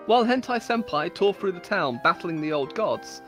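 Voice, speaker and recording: A woman's voice: on the low side, expressive, moderate pace, soft background music. A woman storyteller giving a dramatic, mock-epic reading of comic fantasy stories, with amused asides. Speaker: storyteller on a game server.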